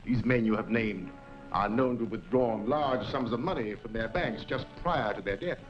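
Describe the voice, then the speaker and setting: An actor playing a suave, formal, slyly sinister doctor in a 1940s film serial. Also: male voice, lowish voice, normal speed, faint music behind, lightly acted. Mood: smooth, soothing. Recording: dull 1940s film soundtrack.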